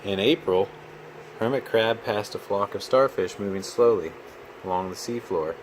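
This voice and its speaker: Man, lowish voice, children's read-aloud, expressive, patient, faint room noise. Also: moderate pace, low-quality recording. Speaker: children's book reader.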